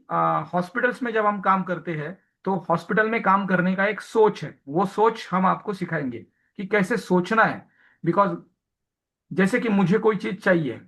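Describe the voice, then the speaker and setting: High-voiced man giving a training lecture. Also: fairly bright tone. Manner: mixes Hindi with English terms; frequent hesitation fillers. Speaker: hospital accreditation trainer.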